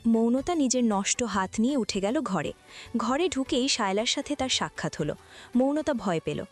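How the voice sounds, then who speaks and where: A woman's voice: on the high side, animated, normal speed. A woman reading scripted story narration as the narrator. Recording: music behind the voice.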